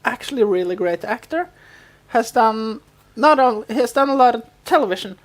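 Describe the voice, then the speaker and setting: Casual woman podcaster with a higher-pitched voice. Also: lively intonation, fairly quick. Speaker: podcast co-host. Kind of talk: casual chat about a TV show.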